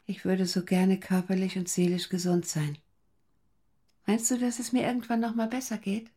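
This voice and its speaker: Woman acting a husky, fragile older mother. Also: mid-range voice, fairly even intonation, moderate pace, warm tone, close to an everyday voice. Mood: tender, frail. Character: paranoid, fragile mother of a grown son, plaintive. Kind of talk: German radio play acting.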